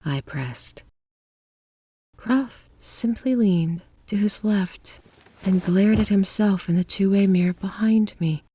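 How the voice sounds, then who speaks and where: A man narrating a first-person horror story in a measured, deliberate, suspenseful manner. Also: high-pitched, dark tone, slightly rough. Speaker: audiobook narrator.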